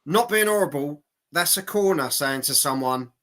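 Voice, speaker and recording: Bright, forceful man, losing his voice. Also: on the high side, animated, normal speed. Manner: blokey, sweary, repeats phrases for emphasis. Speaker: host of a boxing watch-along stream. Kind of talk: casual live sports reaction chat.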